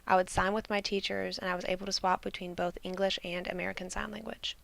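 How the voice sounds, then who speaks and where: A woman student interpreter voicing a signer's story in calm, measured first-person narration. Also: mid-range voice, creaky.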